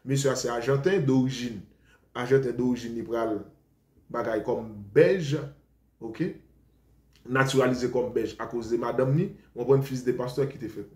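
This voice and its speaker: A man's voice: mid-range voice, fairly even intonation, moderate pace, darkish tone. A Haitian man preaching informally to his followers, rambling and defensive, often repeating himself. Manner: rambling, defensive, switches between Creole and French. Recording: roomy echo.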